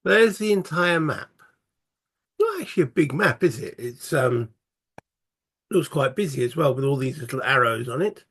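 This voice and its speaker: A man, croaky from a cold, casually talking through a solo board game and thinking aloud with many fillers. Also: medium pitch, animated, normal speed, pauses often, fairly bright tone. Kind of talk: casual tabletop playthrough commentary.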